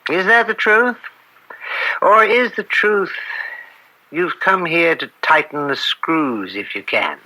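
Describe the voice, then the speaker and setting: A man acting in an old-time radio drama as an upright, conflicted middle-aged American judge, speaking gravely and deliberately with theatrical weight. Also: a little high, animated, sharp tone, heavily acted, rough recording. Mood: anguished. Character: anguished, upright judge and father, bitter and conflicted.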